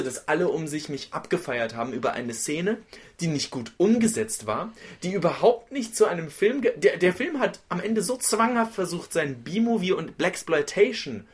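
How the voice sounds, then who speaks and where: A man co-hosting a film podcast, giving animated, opinionated and rambling takes in casual conversation. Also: on the high side, normal speed. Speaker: podcast host.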